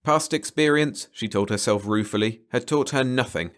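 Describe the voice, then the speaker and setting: A man narrates an audiobook in a medium-pitched voice. He reads scripted fiction as a bedtime read-along for adults. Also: moderate pace, a bit bright.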